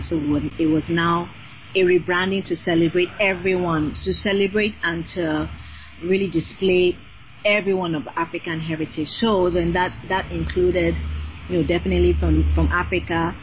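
An energetic woman of Nigerian heritage, a singer and designer, chatting freely as a podcast guest with many fillers. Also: lowish voice, normal speed, dull audio, slight background noise. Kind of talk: casual interview chat.

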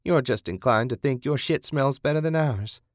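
Man voicing a sneering, sadistic backwoods woman, pitched up. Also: normal speed, dry recording, clean studio sound, close to an everyday voice. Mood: scornful preaching. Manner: sneering, lecturing, sugary condescension. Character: sadistic cannibal woman, mocking, self-righteous, folksy. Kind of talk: audiobook horror character voice.

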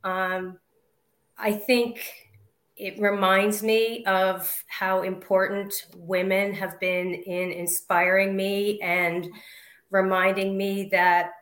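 A woman's voice: mid-range voice, flat delivery, takes it slowly, fairly bright tone, echoey room. A woman in her fifties speaking as a panel guest, earnest and reflective as she talks about her work and her values. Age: 50s. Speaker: guest, activist. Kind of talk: panel discussion.

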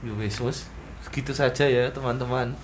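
A man's voice: medium pitch, steady tone, normal speed, noisy background. A young man hosting a podcast, asking a friend casual, probing questions. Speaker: podcast host. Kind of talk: casual interview chat.

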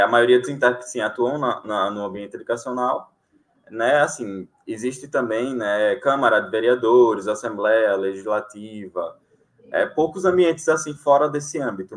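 A young man from Alagoas, Brazil, a sign language interpreter, chatting casually in an interview with frequent fillers and easy laughter. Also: mid-range voice. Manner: relaxed, many fillers, laughs easily.

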